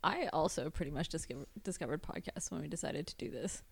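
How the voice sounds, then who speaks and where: Low, gravelly young woman, dry sound. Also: fast, no room sound. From Ontario, Canada. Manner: wry, self-deprecating humor. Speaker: podcast team member. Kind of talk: casual team chat.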